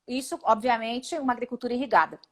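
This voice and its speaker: A high-pitched Brazilian woman in her forties talks over a video call. She is emphatic and indignant and often runs through quick lists. She speaks as a congresswoman guest in a live interview. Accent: Brazilian Portuguese. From Paraná, Brazil.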